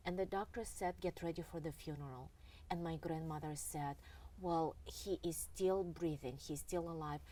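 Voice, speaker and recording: A middle-aged woman from Russia, an author telling family stories in an interview in a halting, earnest way with many pauses. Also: low-pitched, fairly even intonation, warm tone. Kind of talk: reflective storytelling in an interview. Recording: distant mic with faint background noise.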